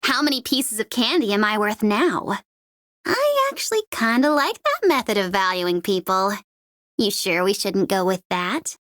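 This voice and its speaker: A woman's voice: mid-range voice, big pitch swings, measured pace, a bit bright, no echo, clean studio sound. A woman playing a cocky young mercenary in a video game, with a mocking, playful and sardonic manner. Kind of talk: video game character voice lines.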